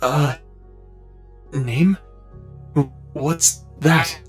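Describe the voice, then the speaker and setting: Actor as timid, slow-speaking newborn creature. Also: mid-range voice, steady tone, faint music behind. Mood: curious, shy. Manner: hesitant, stammering. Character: newly created childlike being, timid, frightened, trusting. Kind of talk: audio drama acting.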